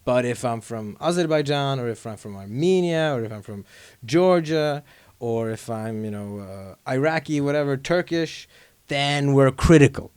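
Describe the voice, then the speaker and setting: A man's voice: mid-range voice, animated, moderate pace, a little mellow, clean tone, no echo. A man hosting a podcast in casual chat. He rambles, uses many fillers and laughs easily. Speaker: podcast host.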